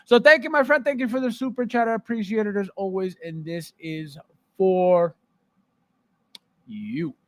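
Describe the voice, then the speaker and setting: A man's voice: high voice, normal speed, slight background noise. A man hosting a casual live stream about collectibles, conversational, repeating phrases for emphasis and checking in with the listener.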